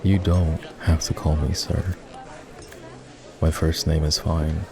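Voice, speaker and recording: A man playing an ex-boyfriend turned boss in an intimate roleplay ASMR, talking in a halting, conversational way. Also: rumbling low, level tone, gravelly, background noise, heavily acted. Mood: casual, teasing. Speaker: voice actor.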